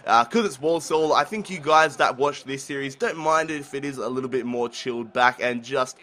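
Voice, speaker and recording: A man's voice: mid-range voice, brisk. Young man with the flu. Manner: excited play-by-play, rising on chances. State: sick with the flu. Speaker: gaming commentator. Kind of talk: casual gameplay commentary.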